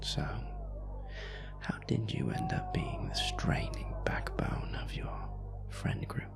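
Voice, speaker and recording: Man playing a whispering, gravelly, seductive vampire. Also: huge pitch swings, full character voice. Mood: coaxing, amused. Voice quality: breathy low murmur with gravelly creak. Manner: slow, flirtatious, drawling, elegantly worded. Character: suave seductive male vampire stranger, teasing, world-weary. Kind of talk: intimate audio roleplay, addressed to the listener. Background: music.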